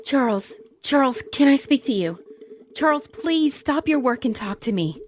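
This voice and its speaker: An actress playing a frightened, bitter, middle-aged wife with a pleading, emotional delivery in an old-time radio horror drama. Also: female voice, high voice, expressive, moderate pace, a little hiss or hum. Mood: pleading, afraid.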